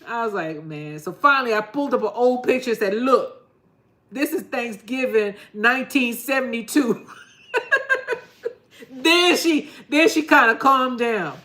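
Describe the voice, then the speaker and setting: A woman's voice: fairly high pitch, measured pace, bright, forceful tone. Woman, middle-aged, gardening vlogger, chatty, laughs a lot, casual rambling talk. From New York. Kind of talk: casual chat to camera.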